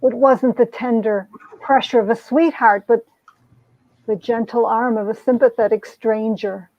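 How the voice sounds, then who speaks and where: A woman's voice: fairly high pitch, fairly even intonation, unhurried, no room sound. A woman playing a wounded, proud young heroine, narrating and acting out a romance comic with earnest melodrama. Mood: melodramatic narration. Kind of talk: dramatic reading of a 1950s romance comic, narration and dialogue. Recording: dull, lo-fi sound.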